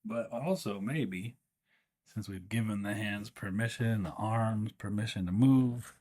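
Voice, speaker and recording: Low-voiced man guiding a meditation. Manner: gentle, unhurried, pausing mid-sentence. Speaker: meditation guide. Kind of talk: guided meditation.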